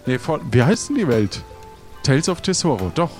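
A man improvising the narration of an adventure audio play, halting as he searches for words. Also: fairly high pitch, big pitch swings, faint music behind. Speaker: game narrator and player.

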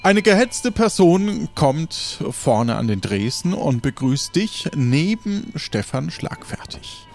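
A man narrating an improvised audio drama as game master, in a measured storytelling way. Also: a little high, sing-song, faint music behind.

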